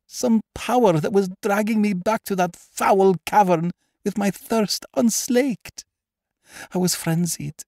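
Man voicing a high-pitched, tremulous terrified noblewoman. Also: mellow, no echo, studio quality. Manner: tremulous, breathy, dramatic. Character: terrified aristocratic lady haunted by dreadful dreams.

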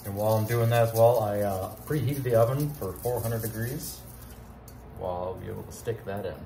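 Thirties male co-host, lowish voice, easygoing home-kitchen demo on phone camera. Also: brisk, gravelly, slight background noise. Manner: relaxed, talks while cooking, step by step. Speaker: cooking show co-host. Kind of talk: casual cooking demo. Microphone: phone camera at counter distance.

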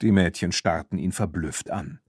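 A man narrating a children's audiobook in an expressive, scripted storytelling style. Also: sits low, normal speed, breathy edge, no room sound, clean studio sound.

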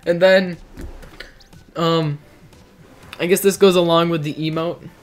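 Hoarse teenage streamer. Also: male voice, a little high, fairly even intonation, slow, soft background music. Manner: rambling, joking, reacts to chat. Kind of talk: casual livestream chat. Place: dim bedroom.

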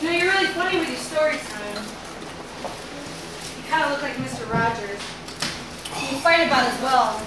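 Taunting high-voiced woman, echoey venue. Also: animated, normal speed, long pauses. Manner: taunting, sarcastic. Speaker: general manager of a wrestling show. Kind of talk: live wrestling promo exchange. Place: small indoor wrestling venue with audience. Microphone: far camera. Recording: very echoey room, far camera, loud noise.

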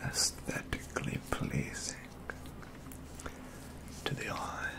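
A young man playing a pompous, doting model collector in soft-spoken ASMR roleplay, half-whispered and gravelly, with slow, formal, deliberate phrasing. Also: lightly acted. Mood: absorbed, approving. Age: young adult. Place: small indoor studio, close up.

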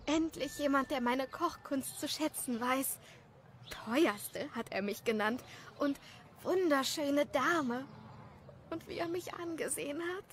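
Woman acting a high-voiced, vain village wife. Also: takes it slowly, a little hiss or hum. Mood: smitten. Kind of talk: dramatized audio story.

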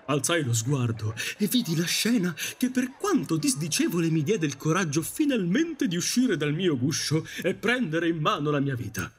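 A man's voice: on the high side, big pitch swings, moderate pace, few pauses, slightly rough. A man acting a wistful, romantic Victorian ghost who tells stories theatrically in an audio drama. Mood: dreamy, romantic. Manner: theatrical storytelling.

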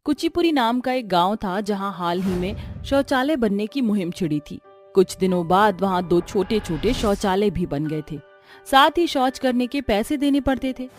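A woman's voice: medium pitch, expressive, moderate pace, faint music behind. A woman reading scripted narration as the storyteller of a cartoon tale.

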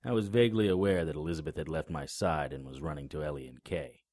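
An actor in an audio drama playing a cynical, sardonic, hard-boiled private investigator with a terse delivery. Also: male voice, a bass voice, quick pace, heavy background noise. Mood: grim narration. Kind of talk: audio drama acting with noir narration.

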